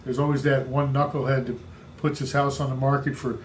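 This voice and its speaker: A man with a big booming voice coaching real estate agents, speaking emphatically and repeating his key points. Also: little pitch movement, brisk, a little hiss or hum. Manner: emphatic, repetitive coaching delivery. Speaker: real estate sales trainer. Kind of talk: instructional podcast talk.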